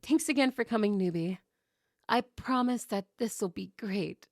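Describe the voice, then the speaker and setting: A woman's voice: mid-range voice, a little slow, darkish tone, dry recording, studio quality, close to an everyday voice. A woman playing a sweet, welcoming young woman among friends in an ASMR roleplay.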